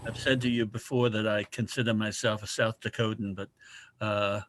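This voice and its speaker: A man's voice: fairly low pitch, level tone, normal speed, fairly bright tone, a little hiss or hum. A man with a New Jersey accent, a guest speaker making friendly, joking small talk over a video call.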